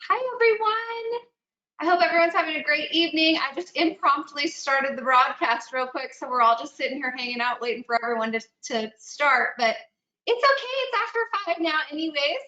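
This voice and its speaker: A woman's voice: high-pitched, animated, brisk, bright, forceful tone. A woman hosting and introducing a webinar as executive director, casual and chatty. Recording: echoey, dull online call audio.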